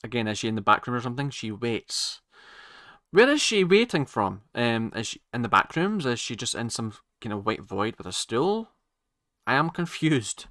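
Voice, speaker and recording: A man hosts a casual reaction video in a bright, forceful voice. He talks haltingly, rambling and doubling back on his own phrases. The sound is dry, with hardly any room in it. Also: medium pitch, very animated, no echo. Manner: halting, rambling, circles back to repeat himself. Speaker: reaction channel host.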